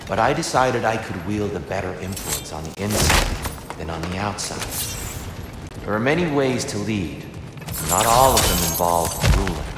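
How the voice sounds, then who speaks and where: A man playing a wise, measured god of war in a video game, counselling in an earnest, deliberate and eloquent way. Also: sits low, animated, very noisy. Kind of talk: dramatic video game acting. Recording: cavernous reverb under loud music.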